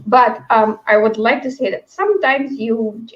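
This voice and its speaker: A woman's voice: on the high side, normal speed, muffled recording. A woman hosting a livestream book discussion in a casual, rambling, chatty way. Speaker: host of a book read-along livestream.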